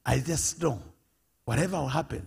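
A man's voice: medium pitch, moderate pace, vocal fry, darkish tone, husky, in a large hall. A man with a Ugandan accent preaching, telling a story dramatically in short, emphatic phrases that leave room for an interpreter. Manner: emphatic, dramatic, acts out dialogue in short bursts. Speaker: preacher, apostle.

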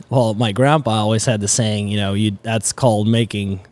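A man in his twenties from the Netherlands, a native Dutch speaker, chatting casually and haltingly as a podcast guest. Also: lowish voice, steady tone, darkish tone. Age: 20s. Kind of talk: casual conversational storytelling. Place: indoors, garage-like studio. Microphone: close podcast mic on boom arm.

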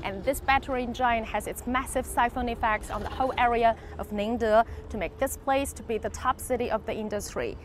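A young woman presents on location in a factory and outdoors, speaking with emphatic enthusiasm. Her voice sits a little high. A faint hum of background noise stays under her lapel mic. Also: normal speed. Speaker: on-camera reporter.

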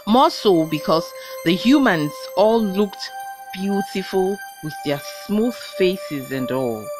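A Nigerian-accented woman narrating a folktale in a deliberate, emphatic storytelling manner. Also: mid-range voice, lively intonation. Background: background music.

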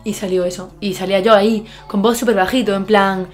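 A woman in her twenties with a Galician Spanish accent, chatting excitedly and mocking herself in a bedroom vlog. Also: medium pitch, brisk, soft background music. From Galicia, Spain. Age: 20s. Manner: excitable, self-mocking, bursts of shouting and laughter. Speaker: YouTuber. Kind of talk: reaction vlog, casual chat. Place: bedroom, indoors. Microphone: webcam with earphone mic.

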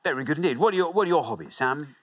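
A man's voice: on the high side, lively intonation, very fast. A middle-aged man hosting a studio quiz show with a lapel mic, chatty and encouraging. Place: large TV studio with audience.

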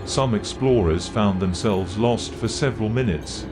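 A man reading scripted documentary-style horror narration in a measured, factual way. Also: fairly low pitch. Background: music.

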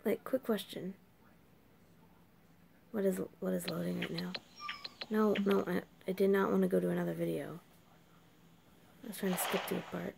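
A woman's voice: mid-range voice, creaky, a little mellow, husky. An eighteen-year-old woman with a scratchy, sore throat, chatting casually as a reaction video host from a small room late at night. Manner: puzzled, exclaiming questions. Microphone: webcam at desk.

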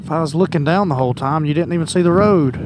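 A man's voice: a little high, quick pace. A man giving an earnest, colloquial, preachy vlog talk about faith as he rides a motorcycle. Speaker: motorcycle vlogger. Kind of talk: casual faith talk while riding. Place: outdoors, riding a motorcycle. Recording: loud engine, wind and road noise.

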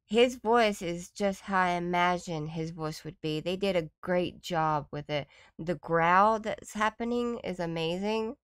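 A woman's voice: lowish voice, unhurried, few pauses, smooth, no room sound, studio quality. A woman giving casual reaction commentary as a video host, in an appreciative, easily impressed way.

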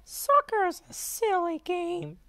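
A woman voicing an excitable, bossy and cheerful little girl pig for a storybook, squeaky and childlike. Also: slow, heavily acted. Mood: whiny, upset. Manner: exclamatory, childlike.

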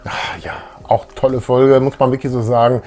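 A man's voice: mid-range voice, flat delivery, brisk, mellow, soft background music. A man in his thirties chatting casually and enthusiastically as co-host of a retro fan show. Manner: enthusiastic, colloquial. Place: small indoor room full of collectibles.

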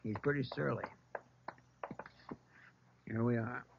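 A brisk police officer speaks informally at a medium pitch. The vintage radio drama sound is muffled. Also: male voice, fairly even intonation, gravelly, noisy background. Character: brisk, helpful male station police officer. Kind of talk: old-time radio drama acting.

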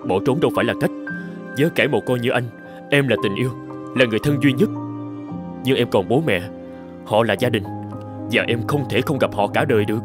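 A man voices an earnest, lovelorn young painter in a cartoon. He is gentle, polite and expressive, at a middling male pitch. Music plays clearly behind him. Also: a little fast, long pauses, close to an everyday voice. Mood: pleading. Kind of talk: dubbed cartoon dialogue.

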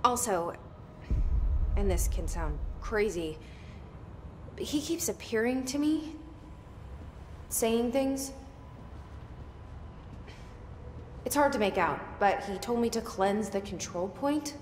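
An actress playing a guarded young woman in a video game, half her lines a hushed inner monologue. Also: a little high, lively intonation, slow pace, long pauses, slight background noise. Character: guarded, wry young woman, the new director. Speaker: game protagonist.

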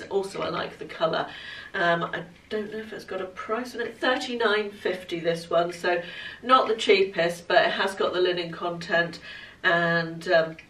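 Congested woman in her fifties, low pitch, casual chat, recorded from across a room. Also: fairly bright tone, slight background noise. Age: 50s. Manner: chatty, rambling, self-deprecating. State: congested from hay fever. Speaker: fashion vlogger. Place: bright living room at home. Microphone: camera across the room. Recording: voice a bit far from mic.

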